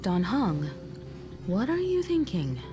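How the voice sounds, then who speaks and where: A woman playing a caring, composed crewmate in a video game, speaking gently and with concern. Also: mid-range voice, wildly swooping pitch, slow pace. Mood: tender concern. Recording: loud music under the voice.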